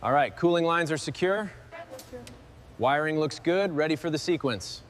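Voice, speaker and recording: High-pitched man giving clipped work call-outs. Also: animated, normal speed, a little hiss or hum. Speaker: workshop crew member.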